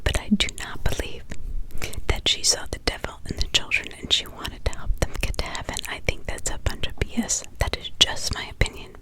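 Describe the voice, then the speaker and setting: A woman who is a parent, whispering close to the mic as she retells a crime story, soft, halting and emotional. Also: rough recording. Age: adult parent. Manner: halting, with many restarts and pauses. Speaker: true crime ASMR storyteller.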